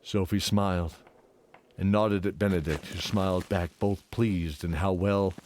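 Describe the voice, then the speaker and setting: A man's voice: low-pitched, steady tone, measured pace, slight background noise. A man narrating a scripted fantasy adventure in a dramatic storytelling style. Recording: loud music under voice. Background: music.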